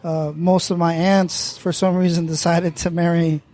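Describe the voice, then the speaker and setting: A man from Mexico with a notably high voice for a man talks as a round-table guest. He explains things at length in an easy, conversational way and laughs readily between points. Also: little pitch movement, moderate pace, darkish tone. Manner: thoughtful, explanatory, laughs easily. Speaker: guest panelist. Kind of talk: casual round-table chat.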